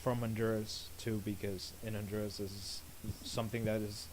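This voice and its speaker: A man's voice: on the low side, level tone, normal speed, a little mellow, slight background noise. A man co-hosting a podcast, talking casually with frequent fillers and restarts. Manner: hesitant, stammering, frequent fillers. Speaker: podcast co-host. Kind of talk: casual chat.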